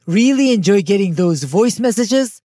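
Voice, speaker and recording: A man's voice: sits high, animated, normal speed, warm tone, no room sound, studio quality. A man hosting a leadership podcast, introducing and interviewing a guest.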